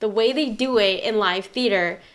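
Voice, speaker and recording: A woman's voice: mid-range voice, moderate pace. A woman co-hosting a casual podcast chat, talking at length and explaining eagerly with lots of fillers. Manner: chatty, explains at length with many likes. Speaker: podcast co-host. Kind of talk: casual chat over cocktails.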